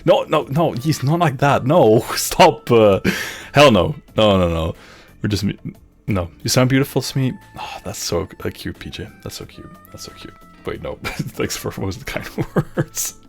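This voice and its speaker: A man's voice: mid-range voice, lively intonation, moderate pace, vocal fry. A man from Luxembourg with a Flemish-leaning Dutch accent in English, chatting casually to a livestream in a giggly, rambling way, often repeating his phrases. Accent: Belgian-Dutch (Flemish-leaning). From Luxembourg, of Dutch parents. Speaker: livestreamer, VTuber host. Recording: music playing behind the voice. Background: music.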